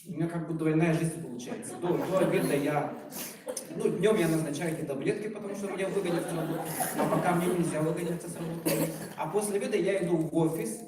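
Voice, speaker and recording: A man in his forties giving an informal promotional talk as a doctor, speaking in a halting, emphatic and self-ironic way, recorded from a distance in a room. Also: a little high, over a noisy crowd. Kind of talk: informal public testimonial talk. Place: echoey indoor presentation room. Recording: very echoey room, far microphone, noisy.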